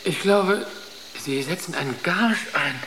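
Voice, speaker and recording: A man's voice: high voice, animated, unhurried, background noise. A man playing a weary, anxious spaceship crewman in a German sci-fi radio drama.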